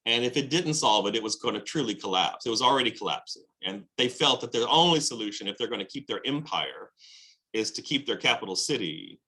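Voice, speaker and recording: A man lecturing on world history, with lively emphasis and frequent fillers and restarts. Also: mid-range voice, fairly quick, low-quality recording. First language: English. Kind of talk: recorded lecture.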